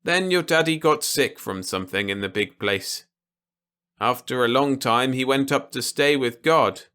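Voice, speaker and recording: A man voicing a gentle, protective old grandfather in a storybook reading, reassuring and explaining things simply to a child. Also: mid-range voice, unhurried, smooth. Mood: tender, explaining. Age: older.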